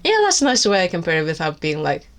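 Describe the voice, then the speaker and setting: A woman's voice: fairly low pitch, wildly swooping pitch, fast talker. A woman from Iceland with an Icelandic accent, whose first language is Icelandic, candidly reviewing books on her vlog in a wry, rambling way with many restarts. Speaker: book vlogger. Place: seated indoors with books on her lap.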